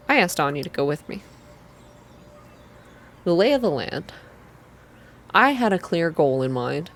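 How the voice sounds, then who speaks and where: A young adult reading a visual novel aloud in a soft ASMR style, close to the microphone, with informal asides between passages. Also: mid-range voice. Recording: clear background noise.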